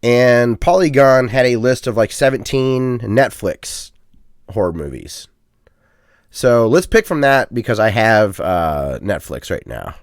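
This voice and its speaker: A man co-hosts a podcast in loose, casual chat. His pitch swings in a lively way as he rambles, filling gaps with ums and uhs. The sound is dry and close. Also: a little slow, no echo. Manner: self-deprecating, chatty. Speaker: podcast co-host.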